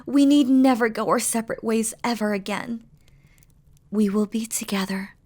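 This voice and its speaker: A woman acts out a demon queen in love, with a fairly high voice and a very unhurried delivery. She is tender and devoted, with regal, formal wording. Her speech is soft and breathy, often sinking to a whisper, as in an intimate romantic audio drama. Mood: tender, relieved. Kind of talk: scripted romantic audio roleplay, spoken intimately to the listener.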